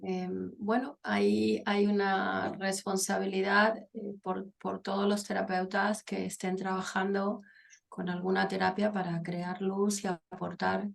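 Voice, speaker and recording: A middle-aged woman from Argentina talks slowly and thoughtfully, stopping often to find her words. Her voice has a gravelly, creaky edge that sits at an ordinary pitch for a woman. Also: flat delivery. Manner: thoughtful, pausing with many fillers and restarts. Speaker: guest psychologist and author. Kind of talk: conversational interview.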